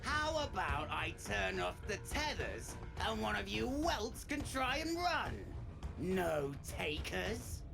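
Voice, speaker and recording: A man's voice: high voice, very animated, slow, gravelly, music in the background, heavily acted. A man voicing a cruel, sneering slave-driving overseer in a game story, taunting and menacing. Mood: bored menace.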